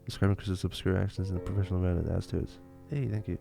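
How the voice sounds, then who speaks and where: A man's voice: deep voice, flat delivery, fast, gravelly, warm tone, faint music behind. A young man from Lithuania with a Lithuanian accent, chatting casually on a livestream and answering viewer questions, with many fillers and restarts. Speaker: livestream host.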